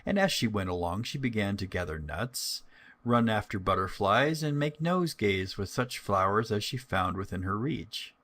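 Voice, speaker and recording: A man's voice: fairly low pitch, expressive, normal speed, fairly bright tone. A man narrating a scripted fairy tale as a storyteller.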